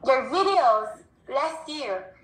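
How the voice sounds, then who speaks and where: A woman with an Israeli accent, a native Hebrew speaker, calling in excited and starstruck. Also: high voice, takes it slowly, roomy sound, low-quality recording. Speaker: caller. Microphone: video call.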